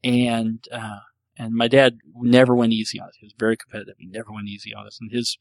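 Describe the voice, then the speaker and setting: A man's voice: mid-range voice, flat delivery, quick pace, no room sound, poor audio. A man hosting a casual solo show, answering listener questions in a rambling, self-deprecating way with frequent restarts.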